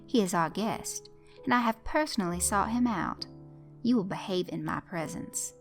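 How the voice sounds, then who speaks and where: A woman's voice: medium pitch, animated, normal speed, gravelly, soft background music. A woman voicing a formal, ominously polite male master of games who speaks with precise courtesy.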